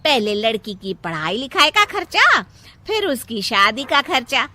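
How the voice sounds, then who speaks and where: A woman's voice: on the high side, sing-song, measured pace, pushed and bright, exaggerated voice. A woman voicing a melodramatic, scolding older mother-in-law in a cartoon moral story. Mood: bitter scolding. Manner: scolding, dramatic wailing and complaining. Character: nagging, melodramatic older mother-in-law obsessed with having a grandson.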